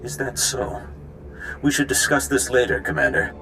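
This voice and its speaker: An actor playing a courteous, authoritative military leader in a video game, speaking formally. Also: male voice, medium pitch, very animated, normal speed, over music, natural voice. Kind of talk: video game cutscene acting.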